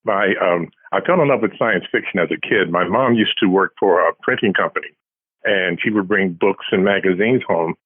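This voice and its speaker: A man's voice: low voice, moderate pace, husky, no echo. An older man, a guest author in an interview, talking casually and telling stories in a conversational way over a narrow phone line.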